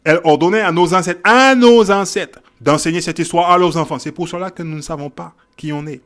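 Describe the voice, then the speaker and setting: High-voiced man preaching emphatically. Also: a little fast. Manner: emphatic, repeats phrases, checks in with "ok?" and "hein?"; shifts from quiet verse reading to loud exhortation. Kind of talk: Bible teaching sermon.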